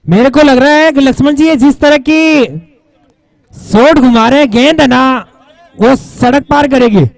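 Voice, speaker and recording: A man's voice: extremely high pitch, measured pace, creaky, no room sound, rough recording. A man doing live cricket commentary and announcements, dropping in playful rhyming quips.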